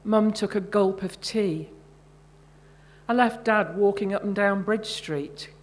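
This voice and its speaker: A woman reading her own short story at a live literary event in a measured, deliberate way. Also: medium pitch.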